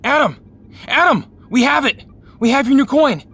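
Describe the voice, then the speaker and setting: A man's voice: very high voice, lively intonation, moderate pace, a bit bright, full character voice. A man playing an eager, loyal young hero, blunt and upbeat, in an audio drama. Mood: excited.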